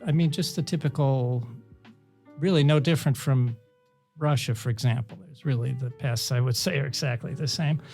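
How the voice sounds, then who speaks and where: A man from Ohio, a podcast guest who talks slowly and hesitantly with short laughs. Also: mid-range voice, vocal fry, a little mellow, faint music behind. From Ohio, United States. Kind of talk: casual podcast chat.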